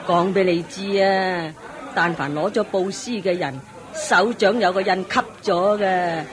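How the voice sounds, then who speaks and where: An actress playing a chatty, nosy older widow in a Cantonese radio drama, bossy and exclamatory with a Hong Kong accent. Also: female voice, on the low side, fairly even intonation, unhurried, lightly acted. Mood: scoffing. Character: chatty brash older widow queuing for alms. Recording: busy crowd behind the voice. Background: crowd of people.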